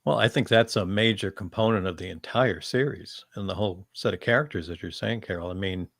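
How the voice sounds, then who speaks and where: A man speaking as a fan panelist, giving his opinions in a measured, conversational discussion style. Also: lowish voice, gravelly, a bit bright, dry recording, clean studio sound.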